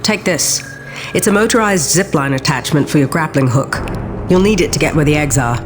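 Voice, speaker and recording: An actress playing a cool, brisk field scientist giving instructions in a video game. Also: female voice, low-pitched, expressive, music in the background. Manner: instructive, self-assured. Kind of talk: video game cutscene acting.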